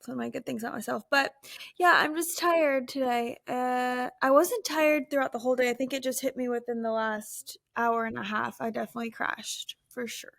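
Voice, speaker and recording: Soft-spoken late-20s woman, kinda high voice, dry close sound, tired and giggly. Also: fairly even intonation, moderate pace, gravelly, no echo. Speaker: podcast co-host. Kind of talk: casual chat.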